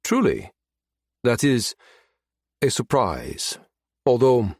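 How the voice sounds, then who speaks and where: A man's voice: medium pitch, very animated, slow pace, slightly rough, no room sound, studio quality, exaggerated voice. A man voicing a haughty, coolly contemptuous premier of an empire in a science fiction audiobook, clipped and commanding. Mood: cool, probing.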